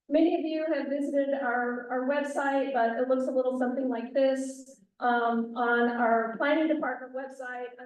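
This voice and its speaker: A woman on county planning staff presenting at a public community meeting, often restarting and correcting herself mid-sentence. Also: a little high. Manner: frequent self-corrections and restarts; says she has a loud outside voice. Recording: echoey room, far from the mic.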